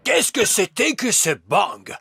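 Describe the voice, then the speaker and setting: A man's voice: sits high, very animated, slow pace, gravelly, sharp tone, slightly rough, dry recording, clean studio sound, heavily acted. A man voicing a wise old elf teacher in a French cartoon dub, pompous and schoolmasterly, stern but patient. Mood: suspicious, stern. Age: older.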